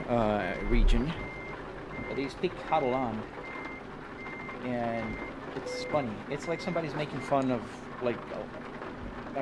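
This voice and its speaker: A man's voice: medium pitch, noisy background. A Brazilian man whose first language is Portuguese, chatting casually with viewers on a live stream while driving a truck sim, greeting people by name. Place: home sim rig.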